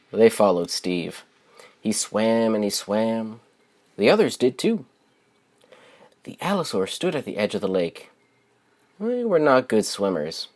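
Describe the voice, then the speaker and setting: A man reading a children's story aloud as its author, with simple, deliberate phrasing and expressive stresses. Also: lowish voice.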